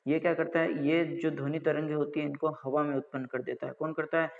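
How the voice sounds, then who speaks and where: गूँजती, दबी रिकॉर्डिंग में राजस्थानी लहजे वाला पुरुष शिक्षक. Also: male voice, on the high side, flat delivery, brisk, gravelly. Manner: didactic, asks and answers own questions, repeats check phrases. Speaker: college lecturer. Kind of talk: explanatory technical lecture. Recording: dull lo-fi audio with long reverb.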